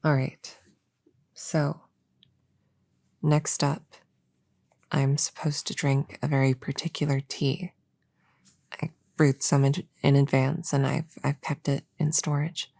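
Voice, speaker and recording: A woman playing a friendly, slightly bumbling novice witch in a soft-spoken ASMR roleplay, breathy and often whispering, with a hesitant, casual way of talking. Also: very deep voice, flat delivery, slow, long pauses, no echo, exaggerated voice. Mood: chatty, gentle. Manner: hesitant, casual, self-correcting.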